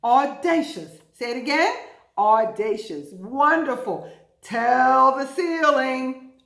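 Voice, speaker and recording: Animated, emphatic woman teaching young children. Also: high voice, gravelly. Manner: emphatic, deliberate teacherly delivery with repetition and questions to listeners. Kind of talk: interactive children's read-aloud and vocabulary lesson. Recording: roomy, echoing indoor recording.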